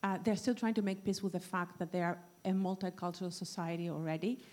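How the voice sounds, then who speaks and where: A woman from Greece putting a spontaneous question to a panel, speaking haltingly as she works out her point. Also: lowish voice, fairly even intonation, darkish tone. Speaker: audience questioner at a panel discussion.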